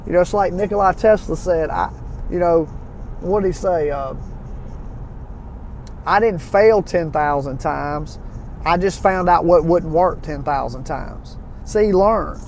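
Gravelly man in his forties, earnest pep talk. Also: fairly high pitch, a little mellow, a little hiss or hum. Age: 40s. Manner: earnest, repetitive, folksy with restarts. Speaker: motivational vlogger. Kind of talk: casual motivational talk to camera.

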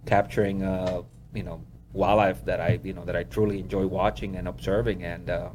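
A man's voice: low voice, little pitch movement, fairly quick, background noise. A man from Honduras who works as a wildlife photographer, telling stories in a casual interview. He rambles, leans on "you know" and laughs often.

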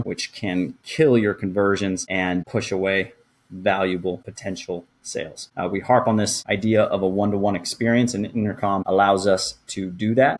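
A man hosting a podcast, explaining and walking through material in an informal, conversational presenting style. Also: fairly low pitch. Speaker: podcast host.

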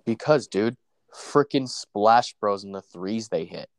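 A relaxed young man with a congested, stuffy nose talks sports with a friend in a casual, easygoing way. His voice sits a little low, and the recording is dry with no room echo. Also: takes it slowly. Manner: laid-back, says "dude" and "bro" a lot. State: stuffy from allergies.

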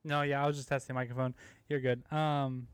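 A young podcast host with a relaxed, meandering way of asking questions, often breaking into laughter. His voice is mid-pitched, and the recording is dry and close with almost no room sound. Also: a little fast, clean tone, dry recording. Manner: laid-back interviewer, asks loose questions, laughs often. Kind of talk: casual chat.

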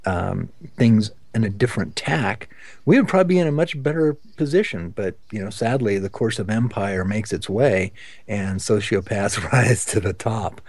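A man's voice: fairly low pitch, expressive, moderate pace, a little mellow, dry recording. Wry male podcast host chatting casually. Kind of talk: casual conversational discussion.

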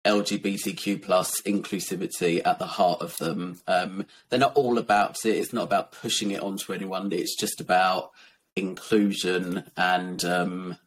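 A man from Essex with a soft, gentle voice, a little croaky and tired, talking candidly and reflectively as a guest, with many ums and soft laughs. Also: low voice, level tone, moderate pace. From Essex, England. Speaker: guest, children's author. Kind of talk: casual interview talk.

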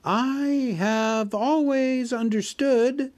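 A man voicing a small, frightened male hobbit who flatters nervously in a storybook reading, with a high squeaky voice. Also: a little slow.

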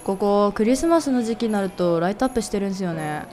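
A woman playing a gruff but secretly lovestruck younger male colleague, speaking casually and with understated exasperation in an amateur radio drama. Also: medium pitch, expressive, fast, dark tone, faint music behind, pitched below the performer's own voice, lightly acted. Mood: exasperated.

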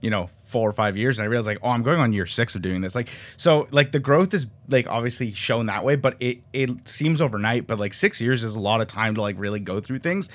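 Young man in his twenties, from Canada, fast chatty talk, lots of fillers, podcast guest. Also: mid-range voice. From Canada, raised near Toronto; born in Colombia. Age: 20s. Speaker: podcast guest, sports cinematographer. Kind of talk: casual interview chat.

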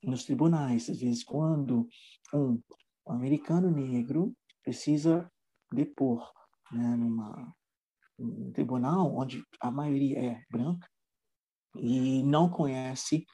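A man who teaches English, talking casually in an interview and often pausing on fillers and restarts. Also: medium pitch, dark tone, husky, poor audio. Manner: hesitant, many fillers and restarts.

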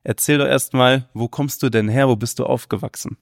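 A man's voice: medium pitch, steady tone, a little fast, vocal fry, dry recording, clean studio sound. A man hosting an interview podcast, chatty and upbeat, asking friendly questions.

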